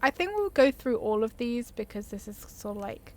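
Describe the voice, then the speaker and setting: A woman's voice: a little high, big pitch swings, normal speed, a little mellow. A woman giving casual, enthusiastic gameplay commentary, chatting informally and easily sidetracked. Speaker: gaming video creator. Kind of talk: casual chatty gameplay commentary, reading game dialogue options aloud.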